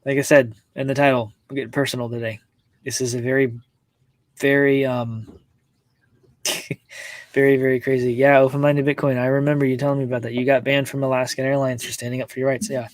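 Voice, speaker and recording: A man with a mid-pitched voice, recorded dry and close, hosts a casual personal livestream. He talks in a halting, reflective way, repeating words as he works through his thoughts and addresses his viewers. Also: little pitch movement, no echo. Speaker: host of a personal live-streamed show. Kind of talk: casual, confiding livestream talk to viewers, reading chat comments.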